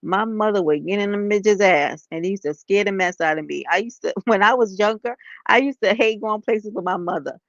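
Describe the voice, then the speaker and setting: Slightly hoarse woman chatting soft and gravelly on a phone live stream, dry, dull sound. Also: mid-range voice, fairly quick, no room sound. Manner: soft-spoken, talks straight to viewers as y'all and honey. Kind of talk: casual live-stream chat to viewers. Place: in bed.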